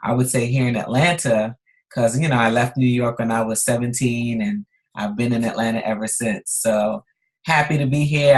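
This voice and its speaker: A woman in her forties from Guyana, raised in Brooklyn, chatting as a podcast guest in a lively, upbeat way. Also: a bass voice. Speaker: guest chef and health coach. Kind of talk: casual podcast chat.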